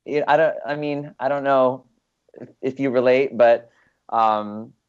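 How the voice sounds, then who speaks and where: A man's voice: mid-range voice, measured pace, clear, resonant tone. A young man from Los Angeles, an actor being interviewed, talking casually with lots of ums and you-knows. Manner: relaxed, rambling with many fillers. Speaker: guest actor. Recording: dull lo-fi sound.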